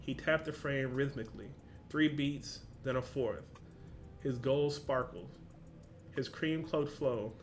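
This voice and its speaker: A man's voice: mid-range voice, takes it slowly, soft background music. A young man hosting a reaction video, reading a story aloud, stumbling over words and laughing at himself.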